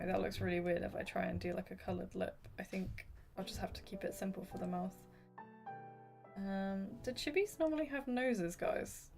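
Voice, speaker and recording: A woman with a soft, gravelly voice that is wearing hoarse, talking casually to her viewers while she draws. She keeps apologising and chatting along, with faint music playing underneath. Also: mid-range voice. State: voice going hoarse. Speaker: art streamer. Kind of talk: casual livestream chat while drawing.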